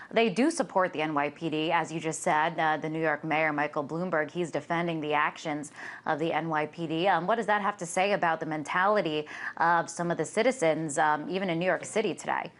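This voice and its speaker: A woman presents a broadcast news interview in a fairly low voice. She sounds like a show host, occasionally halting as she forms her questions.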